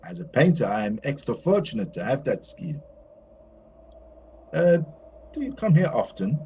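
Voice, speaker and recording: A man's voice: mid-range voice, big pitch swings, normal speed, darkish tone, close to an everyday voice. An actor playing a whimsical, courtly male painter in an audio play, moody yet carefree, with a theatrical, lyrical way of talking. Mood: apologetic, courtly. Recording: dull, slightly noisy recording.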